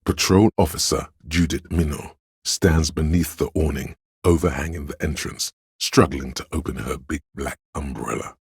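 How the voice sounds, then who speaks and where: A man voicing a brooding inner voice that narrates a police drama in a game, with a very deep, gravelly, husky delivery, measured and solemn. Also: no echo, clean studio sound, full character voice. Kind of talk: literary scripted narration.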